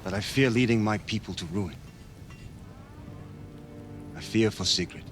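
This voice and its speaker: An actor playing a vengeful young Viking war leader in a video game, grave and oratorical in delivery. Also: male voice, lowish voice, soft background music, lightly acted. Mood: grave, pleading. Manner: slow, solemn, oratorical delivery. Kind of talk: dramatic cinematic game acting.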